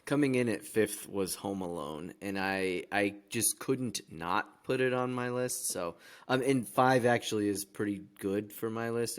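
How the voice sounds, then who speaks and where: Low-voiced man in casual banter. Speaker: podcast co-host. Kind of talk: casual chat.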